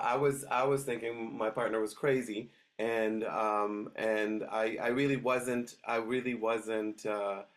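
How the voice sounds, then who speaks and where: A middle-aged man from Switzerland, a dog trainer guest telling stories in a casual interview, hesitant and full of restarts. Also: mid-range voice. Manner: hesitant, many restarts and fillers.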